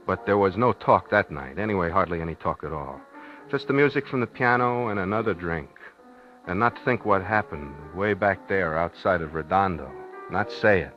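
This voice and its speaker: A man's voice: low-pitched, lively intonation, moderate pace. An actor playing a plainspoken, anxious, guilt-ridden man in a 1950s radio drama. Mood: wistful. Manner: plainspoken, folksy, wistful storytelling. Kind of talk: 1950s radio drama acting with first-person narration. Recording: muffled 1950s radio recording with music.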